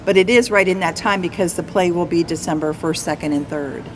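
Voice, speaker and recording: A woman's voice: lowish voice, brisk. An American woman explaining and encouraging as a guest in a casual interview. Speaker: guest, community theater player. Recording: clearly noisy background.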